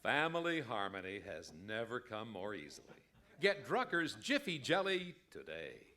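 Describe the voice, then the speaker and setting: A man as hugely animated radio pitchman. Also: moderate pace, exaggerated voice. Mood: enthusiastic. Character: smooth-selling, enthusiastic male radio pitchman. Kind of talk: mock old time radio commercial.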